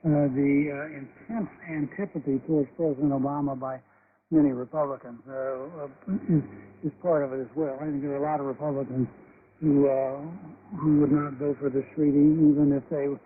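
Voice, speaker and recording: A man offers political analysis in a slightly high voice. His phrasing is measured and hesitant, and it comes through a narrow shortwave radio signal with faint noise. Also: little pitch movement. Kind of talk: unscripted news commentary.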